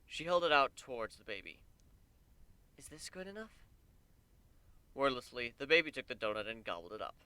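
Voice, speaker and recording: A man narrating a story as a dramatic reading, expressive and deliberate in his telling. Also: medium pitch, smooth, no echo.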